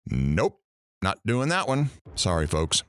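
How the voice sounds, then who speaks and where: An actor playing a brash, sarcastic morning radio host in an audio drama, with showy patter. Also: male voice, low voice, wildly swooping pitch, slow, a little hiss or hum, heavily acted. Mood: breezy, sardonic.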